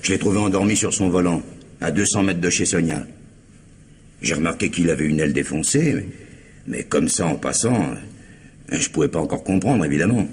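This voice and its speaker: Self-justifying ex-con under interrogation, low-pitched, emphatic and slangy, vintage radio drama with light noise. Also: male voice, lively intonation, brisk, darkish tone. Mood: earnest, justifying. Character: streetwise ex-convict under police questioning, indignant, self-justifying. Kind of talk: French 1950s radio crime drama acting.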